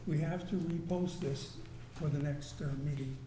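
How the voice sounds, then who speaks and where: A man on a town commission talking through procedure in a halting, practical way. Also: on the high side, fairly even intonation, mellow, slight background noise. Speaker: commission member. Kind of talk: procedural discussion. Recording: echoey meeting room mic.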